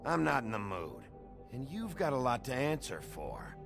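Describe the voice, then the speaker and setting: A man playing a blunt, world-weary American sheriff in a noir video game, gruff and terse, with music behind. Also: medium pitch, sing-song, moderate pace. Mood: confrontational. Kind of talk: video game character acting.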